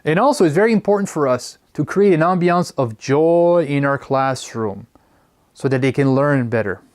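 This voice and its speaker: Lively man lecturing. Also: a little high, moderate pace. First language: French. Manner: lively, stretches and stresses key words. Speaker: teacher trainer.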